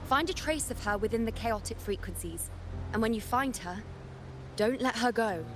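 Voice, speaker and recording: An actress playing a calm, knowing mentor in a video game, speaking in a measured, deliberate, instructive way. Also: female voice, on the high side, music in the background, close to an everyday voice. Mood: calm, guiding. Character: calm, knowing female mentor, composed and cryptic. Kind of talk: dramatic video game acting.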